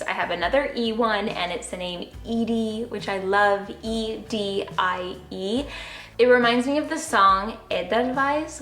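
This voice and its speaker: A woman talking casually to the camera, gushing in a bubbly way and giggling softly now and then. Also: medium pitch. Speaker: vlogger. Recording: roomy echo with faint music.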